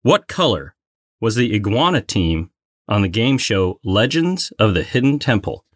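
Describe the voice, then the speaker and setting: A man's voice: fairly low pitch, big pitch swings, measured pace, no echo, clean studio sound. A man co-hosting a casual, playful quiz with banter. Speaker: co-host and quiz player.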